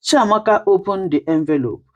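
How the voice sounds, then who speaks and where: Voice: medium pitch, normal speed. A storyteller reading a dramatic scripted folktale narration.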